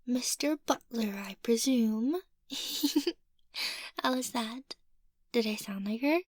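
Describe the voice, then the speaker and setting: A woman playing a playful, sweet young vampire mistress in an ASMR roleplay, soft-spoken and teasing, often slipping into a whisper. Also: fairly high pitch, slow pace, no room sound, studio quality. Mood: playful, coaxing.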